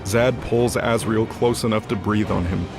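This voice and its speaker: A man's voice: lowish voice, fairly even intonation, moderate pace, gravelly. A man narrating a scripted fantasy audio drama in a dramatic storytelling style. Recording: loud music under the voice.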